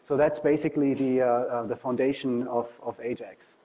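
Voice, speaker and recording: A man's voice: mid-range voice, fairly even intonation, moderate pace, dark tone, poor audio. A man from Germany with a German accent, speaking as a professor presenting an informal technical talk. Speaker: university professor, guest speaker.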